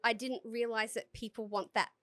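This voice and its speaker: A woman's voice: on the high side, steady tone, normal speed, a bit bright, no room sound. A woman from Australia, a stage performer, chatting openly and swearing freely as a podcast guest. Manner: frank, swears freely, laughs often. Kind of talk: casual interview chat.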